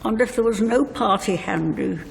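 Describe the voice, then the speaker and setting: An older woman reading a prepared eulogy letter as a bereaved mother, in measured, deliberate phrases. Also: medium pitch, gravelly. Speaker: bereaved mother giving a tribute. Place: inside a church at a funeral service. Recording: reverberant church hall, faint noise.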